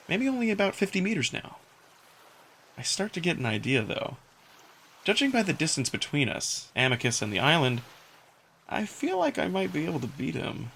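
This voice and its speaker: A man narrating a first-person visual novel story in an expressive, dramatic style. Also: on the high side, gravelly, a little hiss or hum.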